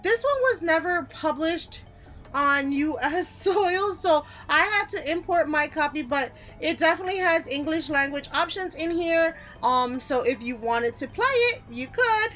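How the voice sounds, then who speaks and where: A woman's voice: high-pitched, animated, measured pace, fairly bright tone. A woman hosting a casual game list video, talking informally and conversationally with offhand asides. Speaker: video game YouTuber, host. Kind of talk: casual top-ten list commentary.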